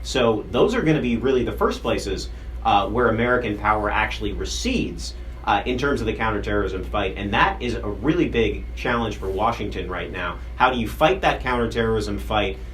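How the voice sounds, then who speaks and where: A man reporting as a defense correspondent, explaining policy in a measured, explanatory way. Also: lowish voice, animated, a bit bright, a little hiss or hum. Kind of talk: news analysis.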